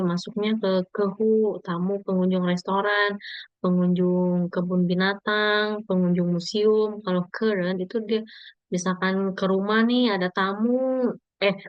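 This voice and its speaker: Slow, patient woman teaching a class. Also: medium pitch, clean tone, dry recording, lo-fi sound. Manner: slow, deliberate model sentences for learners to repeat. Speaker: Mandarin teacher. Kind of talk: language lesson, explaining in Indonesian.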